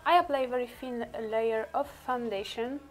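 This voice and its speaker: A woman's voice: fairly high pitch, steady tone, normal speed, faint music behind, low-quality recording. A woman whose first language is Polish, chatting through a makeup tutorial with many fillers and laughs. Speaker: beauty vlogger.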